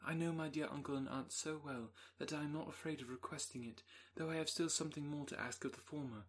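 Man reading as a gentle anxious letter-writer. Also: on the high side, fairly even intonation, quick pace, a little hiss or hum, natural voice. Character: gentle young woman writing an anxious letter.

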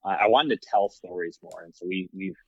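Low voice guy, easygoing and kinda hesitant, a bit dull and muffled. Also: brisk, fairly bright tone, no echo. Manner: easygoing, hesitant, lots of ums and likes. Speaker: podcast guest, fellow podcaster. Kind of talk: casual podcast conversation.

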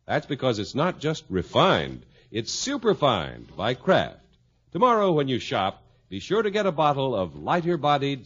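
A man's voice: mid-range voice, husky. A man announcing for a 1950s radio show in a polished, emphatic sales style. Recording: muffled vintage radio recording.

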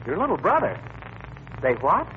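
An actor playing a blustery, wisecracking middle-aged husband with folksy, ungrammatical talk, in 1940s radio comedy style. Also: male voice, a little high, huge pitch swings, normal speed. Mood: chatty, wisecracking. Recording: noisy, dull old radio recording.

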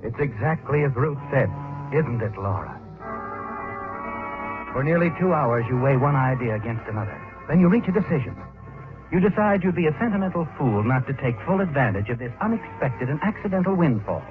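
A man acting as an insinuating narrator of a vintage radio thriller. His delivery is dramatic and very animated in pitch. The sound is thin and tinny, with faint music behind. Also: fairly quick, close to an everyday voice. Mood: knowing, suspenseful. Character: knowing, insinuating male narrator goading the guilty protagonist. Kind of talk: 1940s radio mystery second-person narration. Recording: tinny old radio recording.

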